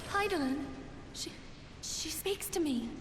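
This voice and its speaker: A woman playing a gentle, solemn, devoted young leader in a fantasy game, with earnest, formal, archaic delivery. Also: sits high, expressive, unhurried, slight background noise. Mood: solemn pleading. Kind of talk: scripted fantasy game cutscene acting. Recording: echoey hall reverb with music behind.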